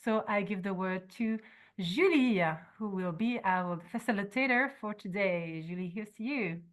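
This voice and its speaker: A woman, speaking over a video call at a mid pitch. She explains things in a calm, measured way as an organizer of an online forum. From Belgium.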